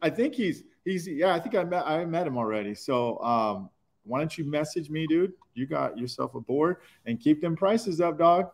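A man's voice: on the high side, expressive, moderate pace. A middle-aged man hosting a live webinar from an auto repair shop, chatting loosely and calling people dude. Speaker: webinar host. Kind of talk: casual live-stream chat.

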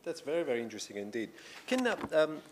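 A man's voice: mid-range voice, sing-song, quick pace, a little hiss or hum, low-quality recording. A man in his thirties interviewing a guest, asking long, winding questions full of "you know". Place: indoor room with tapestries and a sofa.

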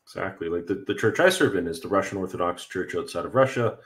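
A man's voice: sits low, fast talker. A young Canadian man, an Orthodox priest, answering viewer questions in an earnest, explanatory way over a video call from a room at home. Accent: Canadian English. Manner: earnest, explanatory, with frequent fillers and light laughs. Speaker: Orthodox priest hosting a livestream. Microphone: video call webcam.

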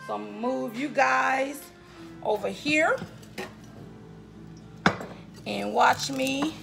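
A woman in her thirties talks to her viewers as y'all while she cooks, unhurried and folksy. Her voice sits high with a strong gravelly fry. The kitchen sounds echoey, and music plays behind her. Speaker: cooking vlogger. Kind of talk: casual cooking vlog talk to viewers. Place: home kitchen, indoors. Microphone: camera on the counter, moved around.

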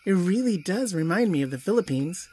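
A wistful, grateful visitor. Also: male voice, high-pitched, animated, a little fast, darkish tone, breathy edge. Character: wistful, grateful man from the Philippines. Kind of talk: audio drama acting.

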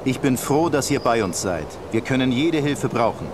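An actor playing a soldier champion in a video game, giving orders and briefings in a commanding way. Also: male voice, mid-range voice, expressive, normal speed, natural voice. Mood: earnest, briefing. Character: gruff male soldier champion escorting war turtles. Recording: noisy game battle ambience behind voice.

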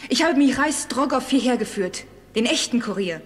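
A high, youthful female voice from an actress playing a devoted young Russian woman in an audio drama. She sounds emotional and anxious. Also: animated, moderate pace, lightly acted. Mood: accusing. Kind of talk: German radio play acting.

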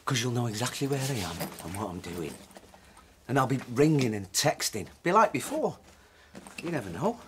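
An actor playing a glib, restless estranged husband in a radio drama, persuasive and sarcastic. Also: male voice, medium pitch, big pitch swings, moderate pace, background noise, natural voice. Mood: placating, defensive. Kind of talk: naturalistic radio drama acting.

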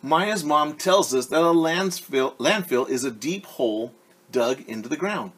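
A man's voice: fairly high pitch, lively intonation, measured pace, fairly bright tone, breathy edge. A man in his forties reading a picture book aloud as a teacher, stopping to explain things simply and ask the children questions. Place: living room at home, indoors. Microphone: device camera at about arm's length.

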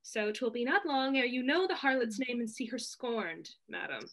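Woman acting a bright, pert, snarky waiting woman. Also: fairly high pitch, animated, moderate pace. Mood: scornful, mocking. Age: young adult. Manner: sarcastic, pert. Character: snarky, railing waiting woman in Restoration comedy. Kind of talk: theatrical period stage acting over video call. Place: indoor room at home, on video call.

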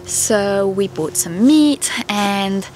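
A woman's voice: medium pitch, lively intonation, very slow, a little mellow, slight background noise, rough recording. Chatty woman vlogging outdoors. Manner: friendly, chatty, with small laughs and fillers. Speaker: vlogger, mother. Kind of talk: casual vlog narration to camera. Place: outdoors in a winter forest by a car. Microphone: camera held at arm's length.

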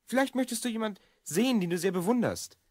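Actor doing a bossy young boy from the future, swoopy pitch, dry sound, kids audio drama. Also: expressive, quick pace, darkish tone, dry recording, natural voice. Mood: earnest. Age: child figure, twelve. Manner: earnest, explaining, bossy. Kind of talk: German children's audio drama acting.

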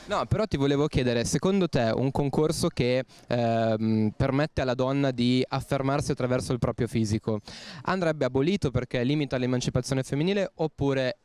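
A man interviewing passers-by on the street, putting clear, prepared questions to them. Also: medium pitch, moderate pace, a little mellow. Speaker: street reporter.

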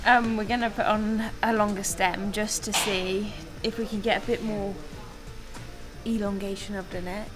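A woman's voice: mid-range voice, steady tone, normal speed. A young woman, a pro mountain biker, chatting casually and jokily behind the scenes at a bike fitting indoors. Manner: bubbly, jokey, laughs often. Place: indoor hotel room during bike fitting. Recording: music bed underneath.

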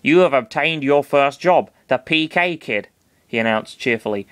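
A man's voice: mid-range voice, animated, normal speed, a bit bright, smooth, no room sound, close to an everyday voice. A man voicing a cheerful male cosmic hand, announcing like a game menu.

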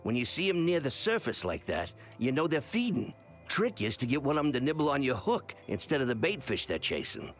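A folksy old fisherman from a video game, gruff but kindly. He clips his words like someone handing down advice, and his pitch moves around a lot. Faint music plays behind him. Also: sing-song, fairly quick, fairly bright tone. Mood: folksy, instructive. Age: older. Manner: folksy, clipped words, cautionary. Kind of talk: video game character dialogue.

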